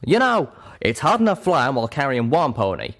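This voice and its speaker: A man doing a gruff drawling pegasus. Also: mid-range voice, very animated, a little fast, pitched above the performer's own voice. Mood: angry scolding. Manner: drawling, scolding, forceful. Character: gruff male pegasus, protective, blunt.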